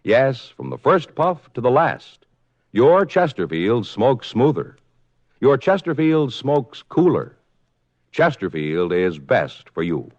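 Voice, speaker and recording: An American man delivering a polished, emphatic sales pitch as a commercial announcer on 1950s radio. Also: sing-song, slow, a little mellow, no echo. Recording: slightly dull, dry vintage radio recording.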